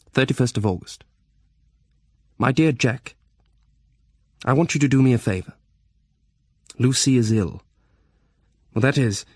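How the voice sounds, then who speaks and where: Man playing worried victorian gent reading a letter, audio drama, earnest, polite, medium pitch, dry close sound. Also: a little mellow, no echo, lightly acted.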